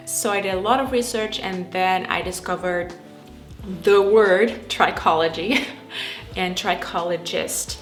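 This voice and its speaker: A woman's voice: lowish voice, fairly bright tone. A young woman from the Netherlands with a Dutch accent, chatting casually to camera and filling her sentences with "like" and "um.". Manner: chatty, frequent fillers like "like" and "um". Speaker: trichologist and YouTuber. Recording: echoey room with faint music.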